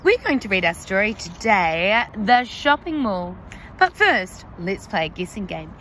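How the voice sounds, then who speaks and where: A young woman teacher, reading a picture book aloud to young children in a slow, exaggerated, sing-song way, recorded outdoors on a phone. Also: mid-range voice, sing-song, a bit bright, slight background noise. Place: outdoors under trees.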